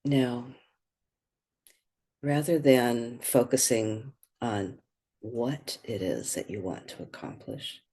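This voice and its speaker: Slow, breathy meditation guide. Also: mid-range voice, animated. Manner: gentle, unhurried, pausing between short phrases.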